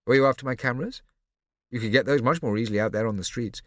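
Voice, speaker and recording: A man's voice: medium pitch, quick pace, no echo, pitched above the performer's own voice, lightly acted. A man voicing a grown woman who is a dry, unflappable homeowner, speaking in a cool, mock-polite way. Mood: wry, composed.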